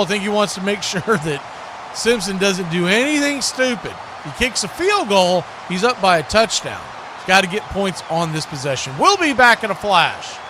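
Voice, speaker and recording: High-pitched man calling football play-by-play, noisy audio. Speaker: play-by-play commentator. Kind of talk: live football play-by-play commentary. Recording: clearly noisy live stream audio.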